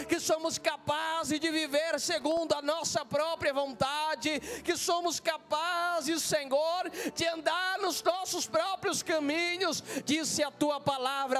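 Brazilian preacher guy praying, super high voice, slow and pleading, music playing behind him. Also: fairly bright tone. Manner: impassioned, pleading, repetitive, with frequent interjected invocations. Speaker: preacher leading a prayer.

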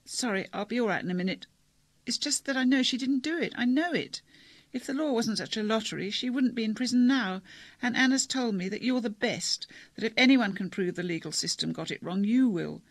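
A narrator voicing a tearful, anxious teenage girl who keeps apologizing, in a high, breathless, rushed way. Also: sing-song, a bit bright, dry recording. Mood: tearful pleading.

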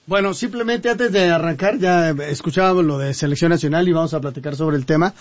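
A man's voice: a little high, brisk, no room sound, dull audio. A man hosting a sports radio show, talking casually and restarting his sentences often. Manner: conversational, hesitant restarts.

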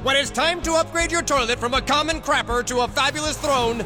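A man's voice: extremely high pitch, a little fast, music in the background. A man reading a comedy commercial in a grandiose, mock-heroic voice. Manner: grandiose superhero-trailer voice. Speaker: ad announcer.

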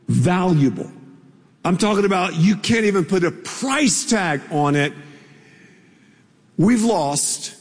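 A middle-aged man preaching a sermon as a pastor, telling a story in a relaxed, conversational way with playful asides. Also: high-pitched, husky. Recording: long reverb of a large hall.

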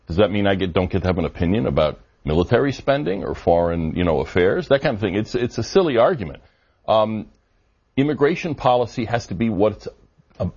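A man with a low, somewhat husky voice answers interview questions in a measured, argumentative way, often pausing on fillers. The audio sounds dull and lo-fi. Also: a little mellow. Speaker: immigration policy guest.

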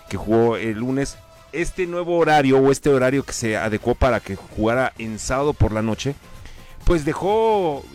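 A man, chatting casually about football on a show, rambling with many fillers. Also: medium pitch. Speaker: sports commentator on a radio show. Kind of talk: casual sports chat.

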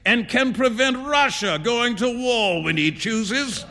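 A man reads the part of a theatrical gentleman artist from a storybook. He is high-voiced and swooping, with drawled, teasing emphasis. He sounds amused and thoroughly worldly. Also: expressive, slow, gravelly, a little hiss or hum, full character voice. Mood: amused, grand. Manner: flamboyant, drawling emphasis, drops in French phrases.

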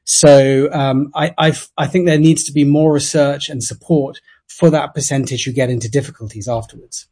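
A man's voice: medium pitch, fairly even intonation, moderate pace, darkish tone, breathy edge. A man from London with a British accent, an author answering interview questions thoughtfully, with stammering restarts. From London, England. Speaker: author, radio guest. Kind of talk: interview answers over a remote line.

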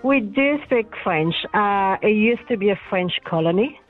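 A woman's voice: mid-range voice, lively intonation, a little slow, soft background music. Woman calling in over a phone line. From Senegal. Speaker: caller. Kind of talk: phone-in chat.

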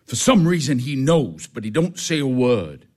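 An actor playing a calculating, bossy middle-aged uncle with a Southern US accent in a stage drama. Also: male voice, mid-range voice, big pitch swings, moderate pace, darkish tone. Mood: scheming. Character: domineering, sharp-tongued, calculating Southern uncle.

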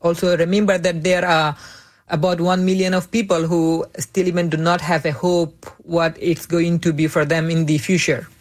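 A young man from Myanmar, giving earnest interview answers in measured, deliberate English. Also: on the high side, flat delivery. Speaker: interview guest, refugee activist.

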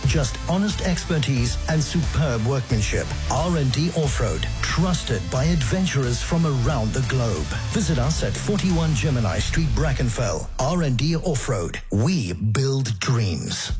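A man voices an earnest radio ad with lively pitch. Music plays clearly underneath. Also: measured pace, hardly any pauses. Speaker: ad voice-over. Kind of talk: scripted radio ad read.

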